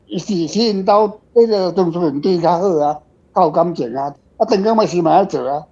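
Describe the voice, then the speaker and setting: Mellow Taiwanese man, rambling and repetitive. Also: sits high, fairly quick, husky. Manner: rambling, repeats words and phrases. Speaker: guest author answering questions. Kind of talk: casual panel discussion. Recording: dull, lo-fi sound.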